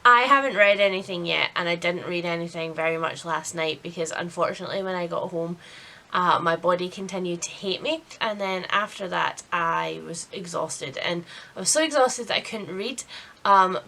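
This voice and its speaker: A woman's voice: medium pitch, pushed and bright. A woman chatting casually and candidly to camera as a vlogger, a little self-deprecating. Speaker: book vlogger. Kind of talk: casual vlog chat to camera.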